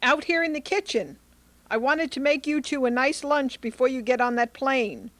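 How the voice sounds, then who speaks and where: A woman's voice: sits high, normal speed, fairly bright tone, no echo. A woman playing a doting, self-sacrificing elderly mother in an old-time radio drama. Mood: fussing, insistent. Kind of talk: Golden Age radio drama acting.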